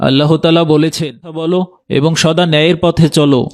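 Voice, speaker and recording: A man's voice: on the high side, fairly even intonation, fast, no echo, lightly acted. Man as a solemn religious teacher, dry sound. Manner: solemn, instructive. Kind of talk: children's moral cartoon dialogue.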